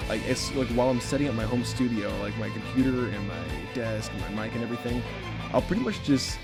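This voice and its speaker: A man's voice: medium pitch, fast. A man who voice acts as an amateur, casually answering fan questions over prominent guitar music. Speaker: amateur voice actor in an abridged series. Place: home recording.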